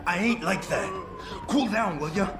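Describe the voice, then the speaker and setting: An actor playing a volatile, cornered hostage taker in a video game, agitated and full of cocky street slang. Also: male voice, high-pitched, moderate pace, natural voice. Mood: cocky, threatening. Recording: echoey game audio under loud music.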